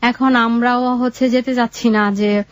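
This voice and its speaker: A young woman with a Bangladeshi accent telling her life story in an interview, in a halting, colloquial way. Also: a little high, steady tone, dry recording, lo-fi sound.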